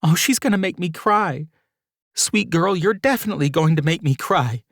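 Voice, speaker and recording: A man voicing a young woman who is emotional but playful, in a soft, breathy feminine voice. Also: on the high side, expressive, moderate pace, no room sound, clean studio sound, voice pushed up. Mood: upset.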